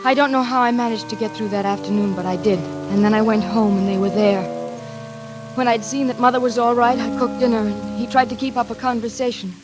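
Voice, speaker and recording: Actress as anxious trapped daughter, music behind. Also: female voice, on the high side, steady tone, lightly acted, rough recording. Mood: weary, haunted. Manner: tense, halting when flustered, dramatic. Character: anxious, trapped daughter narrating her ordeal in suspense drama. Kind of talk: 1940s radio acting, first-person suspense narration. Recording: vintage radio drama with music behind.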